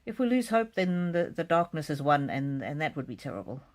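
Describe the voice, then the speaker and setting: A woman hosting a live psychic card reading, chatting casually and reading out comments in a gentle, musing, hesitant way with soft repetitions. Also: sits low, big pitch swings, brisk.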